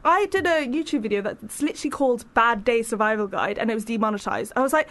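A woman's voice: fairly high pitch, quick pace, fairly bright tone. A woman who is a podcast guest, talking frankly and chattily and speaking her mind. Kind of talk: casual conversational interview.

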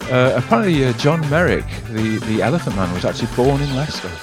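A male stand-up talks quickly over a clear music bed. He stammers into his lines, repeating words, then lands them as deadpan one-liners. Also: mid-range voice. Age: middle-aged. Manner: hesitant, stammering repetitions. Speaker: stand-up comedian. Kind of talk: deadpan one-liner comedy in interview answers. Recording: music playing behind the voice.

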